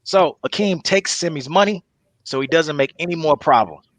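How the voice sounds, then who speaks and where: A man's voice: fairly high pitch, normal speed, fairly bright tone, dry recording. Man narrating script directions, dry sound. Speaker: narrator reading stage directions. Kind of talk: scripted narration with casual asides.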